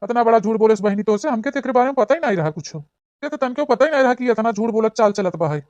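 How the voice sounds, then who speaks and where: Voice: medium pitch, expressive, fast, a little mellow, dry recording, dull audio, natural voice. A cartoon voice playing an indignant, hot-tempered village husband and elder brother, scolding and questioning in an Awadhi accent from eastern Uttar Pradesh. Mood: outraged. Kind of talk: cartoon comedy dialogue.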